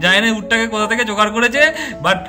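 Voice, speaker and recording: A lively village father from a children's doll story played by a man. He is emphatic, bossy and kindly, repeating himself. The voice is strikingly high and sharp. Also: very fast, faint music behind. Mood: pleased, kindly. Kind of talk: amateur doll-story voice acting.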